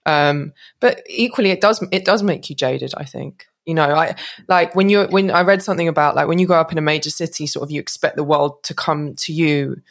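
A woman host with a notably low voice. She talks in a loose, thoughtful way, musing through her points with her guest. Her tone stays relaxed and chatty. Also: brisk, hardly any pauses. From London. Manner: conversational, thoughtful, musing aloud. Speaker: podcast host. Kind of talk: casual interview chat.

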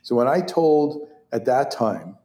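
A man's voice: mid-range voice, takes it slowly, reverberant. A man in his sixties whose first language is Hebrew, telling stories as an interview guest with lots of laughs and restarts. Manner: animated, laughs often, many restarts. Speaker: interview guest, orthopedic surgeon. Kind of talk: conversational storytelling.

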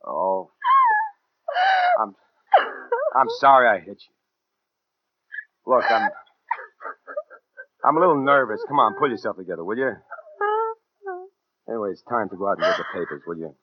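A man's voice: fairly low pitch, expressive, normal speed, long pauses, breathy edge. An actor playing an edgy, slangy American gunman in a 1940s radio crime drama, talking tough and clipped. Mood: coaxing, menacing. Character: edgy, hard-boiled gunman narrating and bullying his girlfriend. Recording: muffled 1940s radio recording, faint music.

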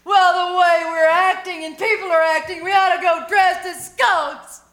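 A woman's voice: extremely high pitch, steady tone, moderate pace. A woman playing a dramatic, scheming young housewife in a 1940s-style radio comedy, with exaggerated theatrical delivery. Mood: eager, bossy. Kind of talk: 1940s radio comedy acting, live performance. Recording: roomy live stage pickup, noisy.

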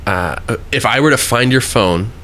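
Chatty man host, bright forceful voice. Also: mid-range voice, lively intonation, slight background noise. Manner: friendly, chatty, quick asides. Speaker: podcast host. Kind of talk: casual interview chat.

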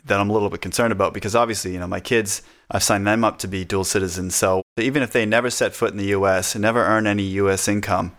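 A man with a very low voice talks in an easygoing, chatty way, often breaking into laughter. He pokes fun at himself as he explains things at a relaxed pace. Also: quick pace, hardly any pauses. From United States. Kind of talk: casual conversation.

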